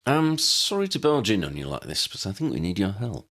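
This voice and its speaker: A man's voice: fairly low pitch, sing-song, a little fast, a bit bright, full character voice. A man voicing an earnest, decisive male head of wizard police, direct, polite and slightly irritable, in an audiobook story.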